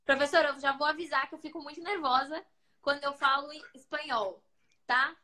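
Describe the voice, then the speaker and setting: A young Brazilian woman hosts a phone livestream in a casual, teasing tone. Her voice sits a little high, and the room around her is echoey. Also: steady tone, sharp tone, slight background noise. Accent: Brazilian Portuguese. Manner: chatty and playful, quick asides to viewers. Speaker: livestream host.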